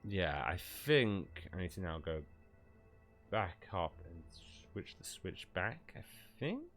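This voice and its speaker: A man's voice: sits low, sing-song, slow pace, a bit bright, faint music behind. A man with a stuffy, cold-congested voice, giving rambling, casual commentary while streaming a video game and often swearing.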